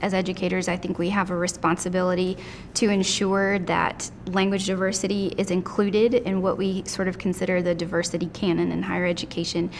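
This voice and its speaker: Earnest lower-voiced woman educator. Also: little pitch movement, fairly quick, slight background noise. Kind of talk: interview commentary.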